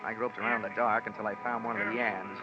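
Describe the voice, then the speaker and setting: A man's voice: on the low side, level tone, fast, natural voice. An actor playing a wry, hard-boiled American insurance investigator, narrating his case with dry sarcasm in a 1940s radio drama. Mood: wry, suspenseful. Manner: sardonic, dry, wordy. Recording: muffled old radio recording with music.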